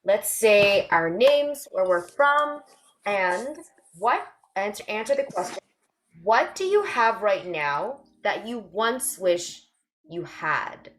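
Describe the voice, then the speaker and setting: A woman's voice: medium pitch, sing-song, slow pace, a bit bright, rough recording. A woman from Canada hosting an online sharing circle, upbeat and encouraging in a casual way. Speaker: circle host. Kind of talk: casual group welcome, video call.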